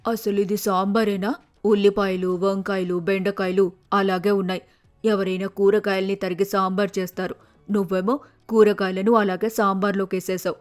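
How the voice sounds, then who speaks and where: A woman's voice: mid-range voice, level tone, normal speed, faint music behind, close to an everyday voice. A woman voicing a stern, scolding older sparrow mother-in-law in a cartoon. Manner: scolding, firm.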